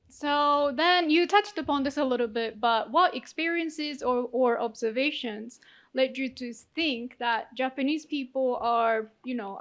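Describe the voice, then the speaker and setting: A woman with a somewhat high voice serves as a judge at a speech contest. She asks her questions in a measured, friendly way. She presses gently for concrete examples. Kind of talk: interview questioning. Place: conference hall.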